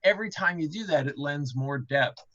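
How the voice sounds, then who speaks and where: A man's voice: a little high, moderate pace, sharp tone, dry recording, lo-fi sound. A man in a casual panel discussion as a game developer, explaining things by thinking aloud and repeating himself.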